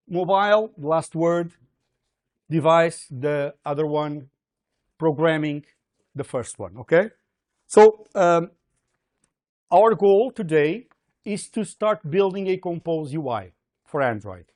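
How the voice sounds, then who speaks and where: A man's voice: a little high, darkish tone. A man with a Portuguese accent giving a livestreamed university programming lecture, hesitant with many fillers and frequent "okay?" checks.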